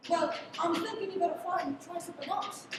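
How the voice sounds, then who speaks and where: A woman acting a friendly, musing Scottish village cart driver in a radio play. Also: fairly quick. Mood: folksy, musing. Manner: folksy, musing. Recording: echoey, distant livestream with rumbling noise.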